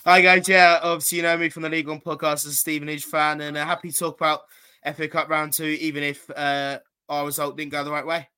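A man's voice: on the high side, little pitch movement, quick pace, bright, forceful tone, clean tone. A man co-hosting a casual football podcast, chatty and self-mocking. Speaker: podcast co-host.

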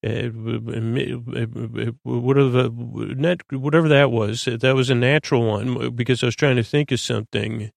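A man with a creaky voice hosting a sleep podcast, meandering and halting as he stammers and drifts onto tangents. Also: medium pitch, steady tone, no echo. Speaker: podcast host. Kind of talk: rambling bedtime-story podcast monologue to lull listeners to sleep.

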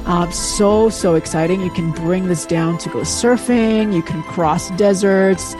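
Podcast host, enthusiastic, upbeat intro, lots of fillers, middle pitch, music running underneath. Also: unhurried. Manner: enthusiastic, hypes guests with frequent fillers. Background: background music.

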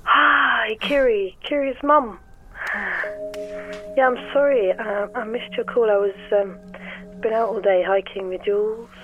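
Audio drama role: actress as middle-aged mum on voicemail, slow, halting and tender, through a thin phone line. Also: female voice, fairly high pitch, lightly acted. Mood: apologetic, awkward. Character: wistful, loving mother leaving her child a voicemail. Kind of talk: naturalistic audio drama acting. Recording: tinny phone message. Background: music.

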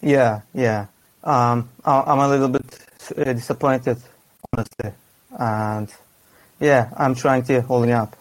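A man, a football fan guest, giving a measured, subdued, detailed analysis of his team's poor games. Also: medium pitch, fairly even intonation. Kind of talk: analytical casual chat.

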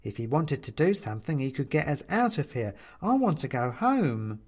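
A man's voice: a little high, big pitch swings, normal speed, a little mellow. Man putting on a stern, insistent storybook mum. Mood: pleading, insistent. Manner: firm, insistent, terse. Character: Mum, stern, exasperated, homesick woman. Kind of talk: storybook character reading.

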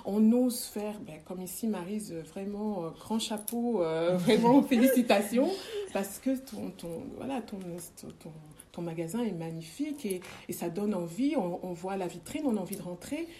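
A woman's voice: medium pitch, expressive, normal speed, mellow. A woman in her forties with a French accent, a guest in a casual interview, who talks with many hesitations and repeated words. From France. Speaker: guest, ritual practitioner and former nurse.

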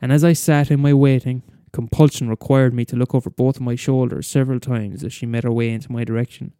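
A man's voice: medium pitch, steady tone, quick pace, mellow, clear, resonant tone, no echo, studio quality, close to an everyday voice. A man playing a bitter, weary middle-aged schoolteacher in a wry, wordy confessional story monologue. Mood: uneasy, resigned. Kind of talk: first-person dramatic monologue.

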